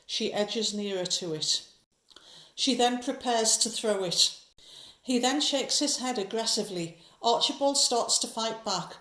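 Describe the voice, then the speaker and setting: A woman's voice: fairly high pitch, unhurried, fairly bright tone, echoey room, poor audio. A woman narrating a horror audio drama from a script, describing each scene.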